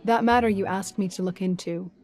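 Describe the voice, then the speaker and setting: A woman's voice: medium pitch, steady tone, a little fast, darkish tone, soft background music, natural voice. A woman playing a deferential, resourceful housekeeper in an audio drama. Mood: discreet, dutiful.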